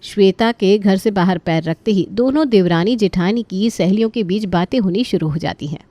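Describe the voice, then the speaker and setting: A woman's voice: medium pitch, normal speed, dark tone, no echo. A woman reading a scripted story as the narrator.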